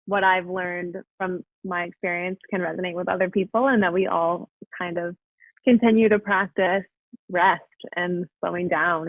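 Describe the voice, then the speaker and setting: A woman's voice: medium pitch, dry recording. Young woman on a tinny call line. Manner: chatty, full of "like" fillers. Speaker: podcast guest. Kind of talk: casual interview chat. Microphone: video call. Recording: dry, phone-like call audio.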